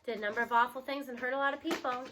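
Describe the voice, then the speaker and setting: Woman reading as a folksy, high-voiced grandmother. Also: steady tone, rapid-fire, background noise, lightly acted. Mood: rueful, confiding. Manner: folksy, colloquial. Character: spry, folksy grandmother, frank and affectionate.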